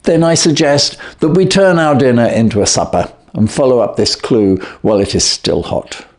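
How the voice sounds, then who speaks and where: A man voicing a brisk, shrewd, self-assured Victorian detective in a storybook, speaking decisively and articulately. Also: mid-range voice, darkish tone, voice pushed up, lightly acted. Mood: brisk, affable. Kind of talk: storybook character voice.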